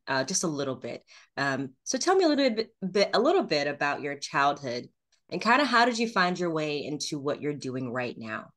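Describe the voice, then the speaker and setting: A woman's voice: low voice, a bit bright. A woman hosting an interview podcast, rambling a little and searching for words with fillers. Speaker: podcast host. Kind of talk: interview chat.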